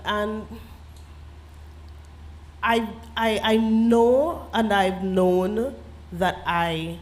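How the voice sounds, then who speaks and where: A woman novelist with a Trinidadian accent, talking reflectively and earnestly on a festival panel, laughing often and slipping into creole phrasing. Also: medium pitch. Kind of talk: literary festival panel talk.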